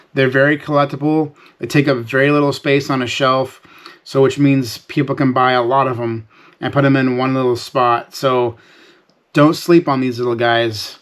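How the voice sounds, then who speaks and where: A man hosting a casual, unscripted sales vlog, explaining things to viewers in a conversational, folksy way. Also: medium pitch.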